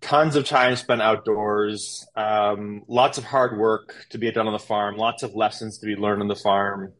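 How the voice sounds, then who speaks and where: A man in his thirties with a lowish voice talks through his life story as a podcast guest. He rambles and restarts often, filling pauses with "um" and "you know.". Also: steady tone, a bit bright. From Canada. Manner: rambling, with frequent fillers and restarts. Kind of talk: casual interview storytelling.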